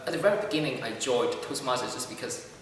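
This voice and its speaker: A man speaking English as a second language, answering interview questions with many pauses, fillers and restarts. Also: mid-range voice, slight background noise. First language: not English. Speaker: club member. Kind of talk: casual interview. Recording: echoey hall from far off.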